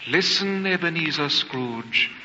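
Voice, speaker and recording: An actor playing a hearty, commanding Christmas spirit in a 1940s radio drama, with declamatory delivery. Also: male voice, fairly high pitch, lively intonation, slow pace, bright, forceful tone, slight background noise, exaggerated voice. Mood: exhorting. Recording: dull old radio, reverb, music behind.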